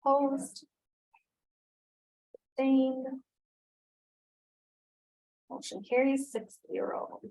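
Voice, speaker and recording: A woman's voice: sits high, very slow, vocal fry, long pauses, dark tone, poor audio. Board chair, woman, formal, reads motions and calls votes. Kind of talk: running a school board meeting.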